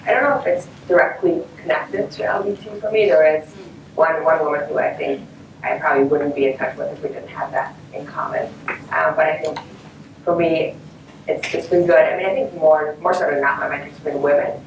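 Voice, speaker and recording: A woman's voice: deep voice, steady tone, brisk, creaky, lo-fi sound. A woman panelist speaking conversationally at a conference, with frequent restarts. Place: conference stage. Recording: roomy, distant mic, faint noise.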